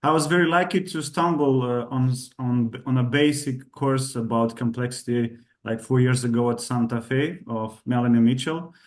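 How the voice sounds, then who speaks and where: A man's voice: medium pitch, steady tone. Hesitant man with a Romanian accent. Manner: hesitant, many "uh" pauses and restarts, polite. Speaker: audience member asking a question.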